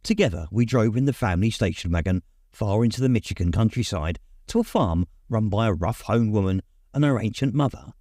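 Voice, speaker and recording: A man reading a memoir aloud as an audiobook narrator, in measured storytelling phrases. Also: fairly low pitch, lively intonation, dark tone, no echo, clean studio sound. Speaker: audiobook reader. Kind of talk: scripted literary narration.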